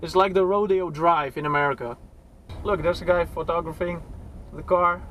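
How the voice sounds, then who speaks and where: A man's voice: on the high side, steady tone, normal speed, a bit bright, slight background noise. A young man from Poland chatting casually with a passenger while driving, laughing often and restarting his sentences, recorded on a dash camera inside a car. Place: inside a car in city traffic. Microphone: dash-mounted camera.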